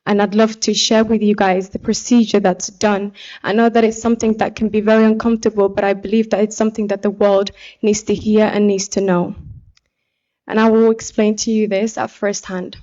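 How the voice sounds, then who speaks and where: A young woman from Somalia giving a personal testimony speech as an activist, speaking in an earnest, deliberate way. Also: mid-range voice, level tone, mellow, highs cut off. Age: young adult. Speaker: pageant contestant and activist speaker.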